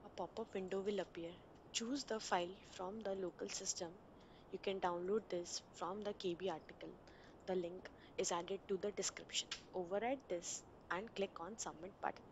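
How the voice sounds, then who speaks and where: A woman reading a screen-recording software walkthrough in a steady instructional way, with small grammar slips. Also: medium pitch, normal speed, slight background noise. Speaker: software tutorial narrator.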